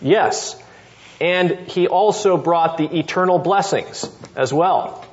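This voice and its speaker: A man's voice: a little high, takes it slowly, dull audio. A man teaching a seminary class, explaining step by step and often checking in with his listeners. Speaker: seminary professor. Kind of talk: classroom lecture on scripture.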